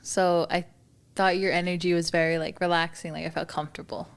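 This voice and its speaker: A woman's voice: on the low side, little pitch movement, a little fast, smooth. Giggly young woman, hesitant speech. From Manitoba, Canada. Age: 20s. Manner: hesitant, many fillers, giggly. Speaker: podcast guest. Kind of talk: casual interview chat.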